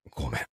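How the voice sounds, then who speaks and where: A man acting in a Japanese voice drama as an evasive, apologetic boyfriend with a Kansai accent and a husky voice, stammering and hesitant. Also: pushed and bright, no room sound, clean studio sound. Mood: guilty, apologetic. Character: evasive, apologetic boyfriend, busy office worker with a secret.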